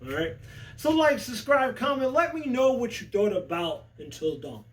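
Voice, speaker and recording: A man's voice: very high voice, very animated, normal speed, distant mic, soft background music, rough recording. A man hosting a casual, unscripted review, talking in a slangy, conversational way and often checking in with the listener. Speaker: movie review host.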